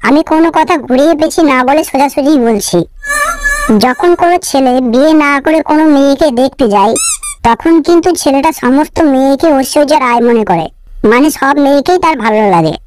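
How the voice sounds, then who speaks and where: A woman's voice: high voice, fairly quick, noisy background, natural voice. A woman voicing a cheeky, boastful young male YouTuber suitor in a comic cartoon. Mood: flattering, frank. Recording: loud music behind the voice. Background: music.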